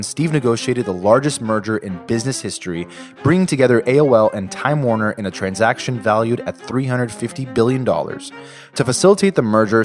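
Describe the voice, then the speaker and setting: An American man reading a scripted podcast introduction as narrator. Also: mid-range voice. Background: guitar music.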